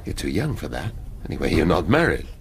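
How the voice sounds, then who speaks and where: An actor playing a wry, irritable police detective laid up in hospital, speaking drily in a radio drama. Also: male voice, a bass voice, sing-song, fast, slightly rough, full character voice. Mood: apologetic, curious.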